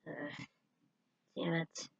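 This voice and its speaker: A woman playing a steady, genial older man who runs a diner, speaking in a measured, plain way for a story. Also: recorded from a distance, lo-fi sound. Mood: resigned.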